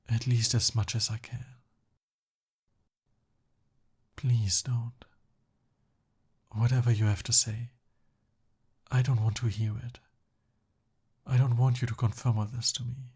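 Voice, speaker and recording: A man acting an earnest, lovestruck young prince in a romantic ASMR roleplay, with halting, emotional, pleading and easily flustered delivery. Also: medium pitch, level tone, a little mellow, breathy edge. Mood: hurt, resigned.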